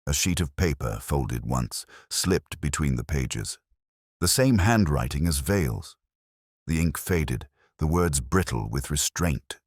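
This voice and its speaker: Very deep, brooding detective played by a man. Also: expressive, no echo, studio quality, exaggerated voice. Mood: hushed unease. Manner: solemn, literary, reflective, measured phrasing. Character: melancholy, brooding older English police inspector recalling an old case. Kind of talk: first-person scripted narration, audio detective drama.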